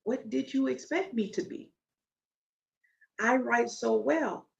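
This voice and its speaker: A woman with a deep, loud voice giving an educational talk, emphatic and often checking in with "okay?". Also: takes it slowly, creaky. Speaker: video commentator. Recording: muffled, distant video playback.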